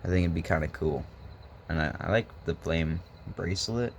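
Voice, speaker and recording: Young male vlogger, smoke-roughened voice, lowish, loose rambling jokes, phone mic with faint hiss. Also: normal speed. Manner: meandering, joking, laid-back. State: hoarse from smoking. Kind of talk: rambling casual vlog chat.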